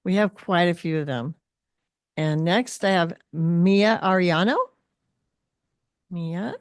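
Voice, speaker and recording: A woman's voice: lowish voice, slow, smooth, no room sound, studio quality. A woman reading out student names at a school board meeting, apologetic and informal.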